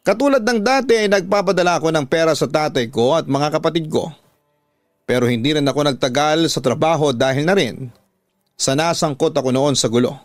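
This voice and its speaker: A man's voice: on the high side, no room sound. A man with a Filipino accent narrating a scripted dramatic story for radio.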